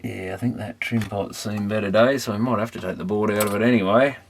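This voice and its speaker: A man's voice: on the low side, steady tone, fast talker. An Australian man giving casual, rambling commentary as he works, offhand and musing to himself. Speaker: electronics repair hobbyist. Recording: clearly noisy recording with clicks.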